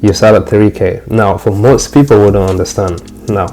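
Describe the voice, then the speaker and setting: A young man from Cameroon with a lowish, mellow voice talks viewers through his trading dashboard in a loose, repetitive way, often circling back over the same phrase. Soft music plays faintly underneath. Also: steady tone, normal speed. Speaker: trading channel host. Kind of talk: casual screen walkthrough.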